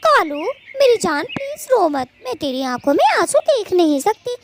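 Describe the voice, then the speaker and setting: A woman's voice: extremely high pitch, sing-song, normal speed, slight background noise, pitched above the performer's own voice. A woman voicing a bossy, gossiping female cartoon bird with a scolding, dramatic, chatty delivery. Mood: doting, then indignant. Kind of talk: children's moral-story cartoon dubbing.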